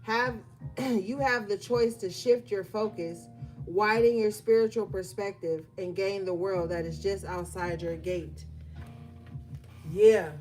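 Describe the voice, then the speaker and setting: Woman tarot reader at a mid-range pitch with soft music underneath. Her pace is unhurried and she alternates between reading from the guidebook and chatting loosely. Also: fairly even intonation, low-quality recording. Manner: reads guidebook text aloud, then riffs conversationally with fillers. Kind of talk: collective tarot reading, casual address to viewers.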